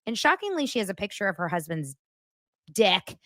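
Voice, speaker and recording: A woman who is a podcast guest and hosts her own daily show, chatting casually and telling gossip stories in an animated way. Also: mid-range voice, brisk, no room sound, clean studio sound. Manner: animated storyteller who acts out quotes.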